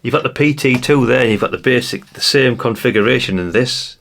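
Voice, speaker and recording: A man's voice: mid-range voice, brisk, gravelly, a bit bright. A British man giving a casual hands-on walkthrough as a presenter, chatty and rambling, often tagging questions onto his remarks. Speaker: presenter of a vintage radio channel.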